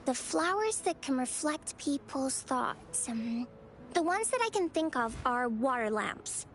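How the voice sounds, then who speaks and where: A woman voicing a sweet, earnest young girl in video game story dialogue, gentle and thoughtful. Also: sits high, very animated, unhurried, slight background noise, full character voice.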